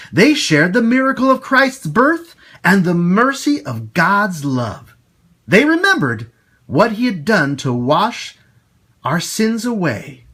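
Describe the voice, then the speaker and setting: A man hosting storytime for children, reading and talking to them in an expressive, emphatic way. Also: sits high, slightly rough. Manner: animated, slow, emphatic delivery for young children. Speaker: storytime host and children's teacher.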